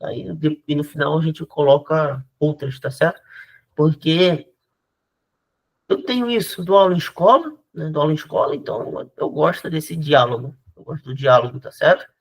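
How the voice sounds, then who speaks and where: Um homem do Rio de Janeiro dá uma aula informal de história por videochamada, com a voz um pouco aguda. Fala de forma descontraída, recomeça frases e vive perguntando "tá?" aos ouvintes. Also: male voice, a little high, expressive, moderate pace. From Rio de Janeiro, Brazil. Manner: chatty, frequent restarts and tag questions. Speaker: history teacher giving a talk. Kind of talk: informal lecture on a video call. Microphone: video call microphone.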